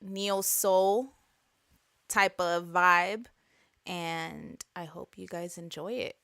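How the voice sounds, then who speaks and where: A woman's voice: lowish voice, fairly even intonation, extremely slow, vocal fry, sharp tone. A young woman from a Mexican-American family co-hosting a podcast, chatting casually with lots of fillers and some swearing. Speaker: podcast co-host. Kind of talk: casual chat.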